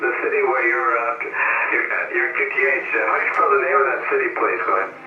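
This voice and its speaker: A man's voice: sits low, sing-song, quick pace. Male ham operator on air from a home station, polite radio manner, heard far off through static in an echoey sound. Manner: polite, repeats "very fine", signs off with call signs. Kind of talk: radio contact chat. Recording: distant, muffled, noisy radio signal.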